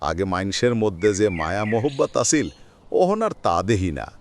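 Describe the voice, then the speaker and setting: A man's voice: fairly low pitch, big pitch swings, a little fast, a little hiss or hum. An actor playing a frail, nostalgic old village grandfather in a cartoon, with a rural Bangladeshi accent. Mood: wistful. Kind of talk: cartoon drama dialogue.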